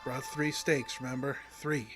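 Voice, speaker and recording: An actor playing a tormented but resolute fishing guide with a folksy, plain-spoken manner in a horror audio drama. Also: male voice, medium pitch, level tone, a little slow, music in the background, natural voice. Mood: desperate.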